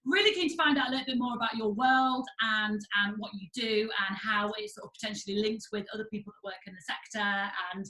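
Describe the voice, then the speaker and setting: A woman's voice: a little high, fast, hardly any pauses, pushed and bright. Chatty woman host in an echoey room. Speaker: podcast host. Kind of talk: casual interview chat.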